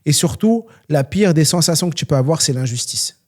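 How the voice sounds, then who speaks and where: A man's voice: on the high side, lively intonation, a little fast, a little mellow. A young man from France, talking earnestly and motivationally on a solo question-and-answer podcast, with many fillers. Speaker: podcast host and trainer.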